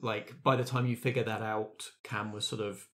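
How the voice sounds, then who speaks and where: A man's voice: lowish voice, little pitch movement, moderate pace, fairly bright tone. A man speaking as game master and host of a roleplaying show. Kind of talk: casual game discussion and read closing credits.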